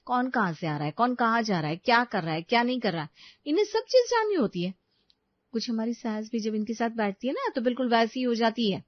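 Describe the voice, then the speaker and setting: A woman's voice: a little high, lively intonation, a little fast, no echo, muffled recording, lightly acted. Woman playing an exasperated young daughter-in-law. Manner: complaining, chatty.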